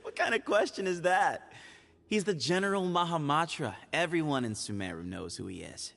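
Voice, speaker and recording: A man's voice: a little high, expressive, normal speed, gravelly, a bit bright. An actor playing a sly, teasing young man of the desert in a video game, glib, playful and evasive.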